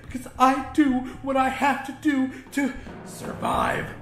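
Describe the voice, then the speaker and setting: Man playing a desperate young woman, pitched way up. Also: huge pitch swings, measured pace, gravelly, fairly bright tone, reverberant, slight background noise, heavily acted. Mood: defiant outburst. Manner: pleading, profane, intense. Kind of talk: dramatic horror audiobook dialogue.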